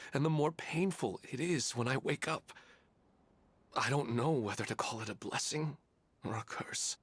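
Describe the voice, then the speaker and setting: Casting: sorrowful widowed father, mid-pitched, subdued and hesitant, game character. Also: a bit bright, husky, no room sound.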